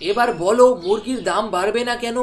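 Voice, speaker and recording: A woman plays a male market trader in a village cartoon, with a somewhat high voice. He grumbles chattily about trade. There is quiet music underneath. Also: brisk, lightly acted. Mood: complaining. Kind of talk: cartoon dialogue voice acting. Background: background music.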